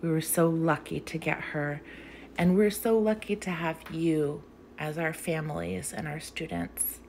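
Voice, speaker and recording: A woman's voice: low voice, steady tone, a little slow, vocal fry, a little mellow, a little hiss or hum. A young woman teacher reading aloud for young children in an emphatic, theatrical, sing-song way.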